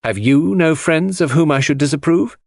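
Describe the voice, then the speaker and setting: A man's voice: a little high, moderate pace, creaky, no echo, clean studio sound, pitched above the performer's own voice. A man voicing a cool, defiant married woman in an audiobook, controlled and challenging. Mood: cool defiance.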